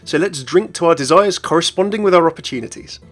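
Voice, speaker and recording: Gaming news host, male, slightly high voice, scripted commentary with music underneath. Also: fast talker, a bit bright.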